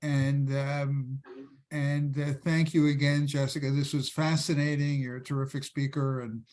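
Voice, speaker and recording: A man with a Philadelphia accent hosting an online panel, chatty with quick restarts and fillers. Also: medium pitch, little pitch movement, darkish tone. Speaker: host. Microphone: video call.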